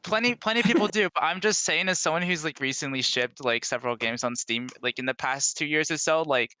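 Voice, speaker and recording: A man chatting casually as an indie developer guest on a podcast, speaking in an animated, emphatic way. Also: a little high, brisk, a little hiss or hum.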